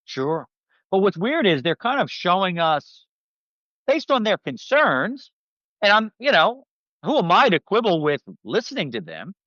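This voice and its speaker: A man's voice: high-pitched, sing-song, measured pace, pushed and bright, no room sound. An American man from Philadelphia talking casually as a guest, brash, sarcastic and salty, on a slightly dull microphone. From Philadelphia, United States. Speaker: guest, publicist and commentator. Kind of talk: casual talk, political commentary.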